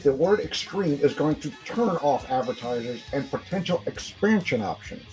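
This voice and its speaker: A man's voice: fairly high pitch, lively intonation, normal speed, a little mellow, lightly acted, low-quality recording. Man as a cautious, pleading business partner. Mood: cautious objection. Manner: hesitant, reasoning. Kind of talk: audio-play acting. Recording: faint music behind the voice.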